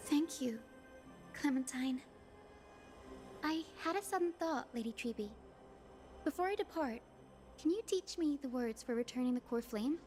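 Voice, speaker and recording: Game casting: gentle young female healer, high voice, polite and earnest, faint music behind. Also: lively intonation, normal speed, pauses often. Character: gentle, reassuring young woman healer, modest and devoted. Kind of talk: scripted game voice acting. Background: background music.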